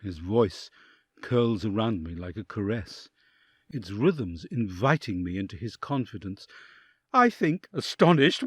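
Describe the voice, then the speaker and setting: A man's voice: mid-range voice, breathy edge, no room sound, studio quality. A man playing an awed, anxious envoy who narrates a dramatic audio drama in the first person. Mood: wondering.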